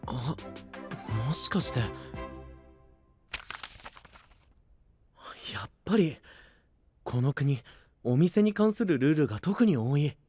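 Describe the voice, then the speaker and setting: Sceptical young knight, very animated. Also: male voice, on the high side, measured pace, husky, soft background music, exaggerated voice. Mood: dry, thoughtful. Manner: casual, dry questioning, exasperated retorts. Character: level-headed, sceptical young knight, quick to retort. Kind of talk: anime-style voice drama acting.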